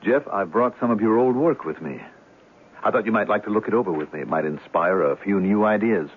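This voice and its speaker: An actor playing a concerned, patient friend, gentle and coaxing, in a 1970s radio drama. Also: male voice, lowish voice, animated, fairly quick, lightly acted. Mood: soothing. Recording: tinny, lightly noisy old radio recording.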